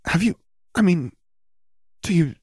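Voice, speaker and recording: Storybook role: bright, ambitious young witch student, read by a man, earnest and questioning, higher register, dry sound. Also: animated, a little slow, husky, no room sound, full character voice. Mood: puzzled.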